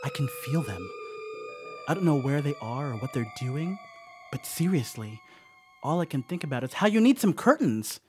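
A man's voice: fairly high pitch, sing-song, moderate pace, a little mellow, soft background music. A man playing a rattled young slacker telling his horror story in a radio play, in a conversational, rambling, wry way. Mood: uneasy, confiding. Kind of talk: first-person horror monologue in a radio play.

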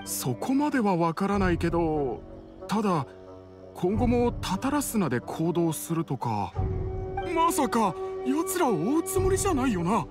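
A man's voice: high-pitched, wildly swooping pitch, unhurried, full character voice. A man playing a frightened, cautious villager in an anime video game, explaining things earnestly. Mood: worried. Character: frightened young male villager, robbed and cautious. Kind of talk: Japanese anime game voice acting. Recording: background music under voice.

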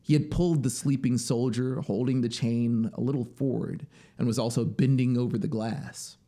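A man in his thirties reading a story as dramatic, deliberate, expressive audiobook narration, close on the mic. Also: mid-range voice. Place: small home music studio, indoors. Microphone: broadcast mic on boom arm, close. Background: ambient synth soundscape noise.

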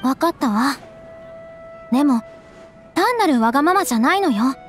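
A gentle earnest young lady. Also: high voice, expressive, normal speed, soft background music. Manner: soft, polite, refined. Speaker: game character.

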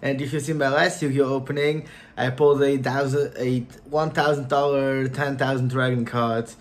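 A young man whose first language is Portuguese, chatting casually at home in his gaming room, with lots of filler words and sudden excited bursts. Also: medium pitch. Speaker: card opening vlogger. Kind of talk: casual unboxing chat. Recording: faint crinkling and desk noise.

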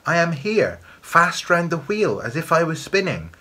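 Man doing a high-pitched little enchanted household object. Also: sing-song, normal speed, bright, forceful tone, full character voice. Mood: dutiful, answering. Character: small enchanted household object dutifully answering.

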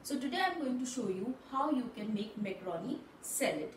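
A woman's voice: a little high, lively intonation, a little fast, a little hiss or hum. Woman teaching a simple kitchen activity. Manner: patient, step-by-step instruction. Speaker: channel host. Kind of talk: cooking demonstration for parents. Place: small indoor room at a table.

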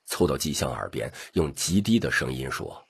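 Guy reading an audiobook, super low rough voice, lots of up and down. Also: sing-song, normal speed. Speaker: audiobook narrator. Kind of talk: scripted story narration.

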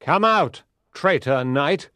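A man playing a scheming, accusing knight in a storybook reading, deliberate and forceful. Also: on the low side, expressive, dry recording, clean studio sound. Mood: angry, shouting. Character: scheming, accusing male knight of King Arthur's court.

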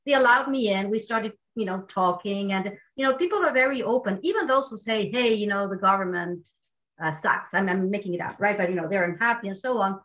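Older Argentine-accented woman on a video call, lively panelist, muffled audio. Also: mid-range voice, fairly quick. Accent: Argentine Spanish. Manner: animated, with frequent fillers and restarts. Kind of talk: online panel discussion. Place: home office with wall maps.

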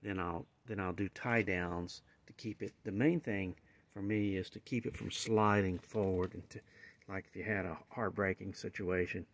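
A man's voice: sits low, steady tone, normal speed. An older man casually explaining as he demonstrates gear in a garage, rambling as he goes. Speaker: channel host. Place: garage with van, indoors and outdoors.

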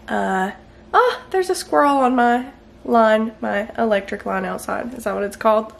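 A young woman in her twenties chatting casually about her groceries in a home kitchen, bubbly and quick to laugh. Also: a little high, creaky. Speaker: lifestyle vlogger. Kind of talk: casual grocery haul chat.

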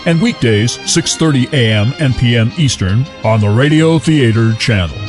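A man works as a channel announcer, reading scripted promos. His medium-pitched voice moves around animatedly, with loud music behind it. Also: expressive, normal speed.

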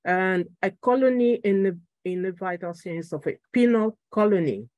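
Woman from Angola, Portuguese mother tongue, middle-aged political speaker, halting delivery, dry close sound. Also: medium pitch, lively intonation, darkish tone, dry recording, lo-fi sound. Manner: halting, searching for words, repeating phrases. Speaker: political party speaker at a conference. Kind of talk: political talk by video call. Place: room at home. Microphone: video call.

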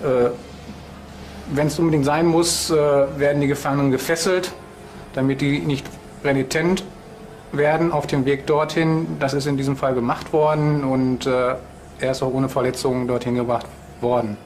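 A man's voice: mid-range voice, steady tone, moderate pace, a little mellow, slight background noise. A man in his forties explaining prison life in an interview, hesitating often with fillers. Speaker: prison official. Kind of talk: on-camera interview answers. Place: indoors, prison corridor.

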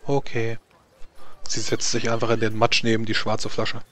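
A man's voice: lowish voice, monotone, fairly quick, fairly bright tone. A man improvising scene narration as the game master of a tabletop game. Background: faint music.

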